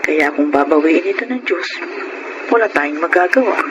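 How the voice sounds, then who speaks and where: An actress playing a gentle, wise and loving woman in a Filipino radio drama. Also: sits low, expressive, normal speed, muffled recording, noisy background. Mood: gentle, philosophical. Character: gentle wise loving partner of a slum dweller.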